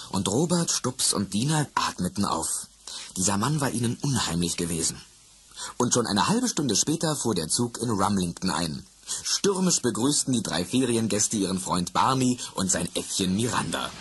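A man narrating a children's radio drama in a measured, storybook way. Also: lowish voice, sing-song, a bit bright. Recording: noisy old tape recording.

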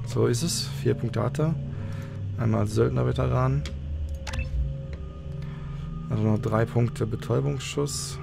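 A man's voice: medium pitch, measured pace, creaky, long pauses. A man commentating casually on a game he plays, thinking aloud and a little hesitant. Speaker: let's player. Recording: game music behind the voice. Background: video game music and effects.

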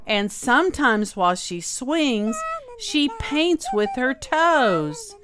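A woman reading a children's story aloud as a storyteller, expressive and carefully enunciated, drawing out key words. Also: mid-range voice. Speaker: storyteller, host. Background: music.

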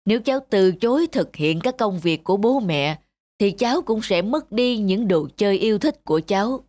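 A woman's voice: mid-range voice, animated, normal speed, darkish tone, natural voice. A woman voicing an old Santa Claus for a cartoon, stern yet kindly, speaking with authority. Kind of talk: dubbed cartoon storytelling. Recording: music under the voice. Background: background music.

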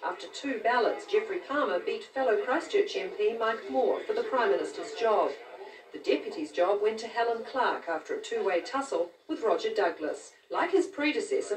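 A woman reporter, narrating a scripted news report. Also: medium pitch. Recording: roomy, distant, noisy TV capture.

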